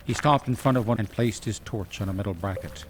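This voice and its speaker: A man's voice: fairly low pitch, fairly quick, background noise. A man narrating a scripted fantasy radio play in a dramatic storytelling style. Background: music.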